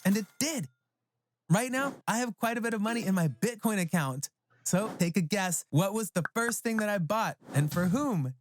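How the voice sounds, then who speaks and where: A man narrating a scripted animated story as a proud, resentful teenage boy. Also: sits high, normal speed, slight background noise. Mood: proud, earnest.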